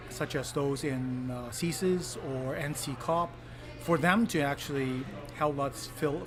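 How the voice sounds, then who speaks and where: A man from Hong Kong, a politician giving earnest interview answers with many pauses and fillers. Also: mid-range voice. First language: Cantonese. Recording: clip with noisy ambience.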